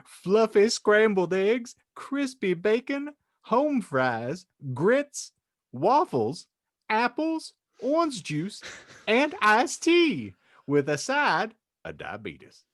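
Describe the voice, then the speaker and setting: A man's voice: high-pitched, huge pitch swings, extremely slow, no echo, clean studio sound. A man hosting a comedy podcast and narrating, with a mock-solemn, wry, theatrical delivery. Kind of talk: comedic banter and dramatic story reading.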